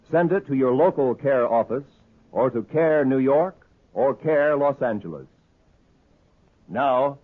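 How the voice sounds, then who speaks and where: Male American announcer: formal and sincere, husky, strongly inflected, vintage 1950s broadcast sound. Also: medium pitch. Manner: earnest, formal. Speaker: radio announcer. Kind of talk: 1950s radio announcing, charity appeal. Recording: muffled, rough old radio recording.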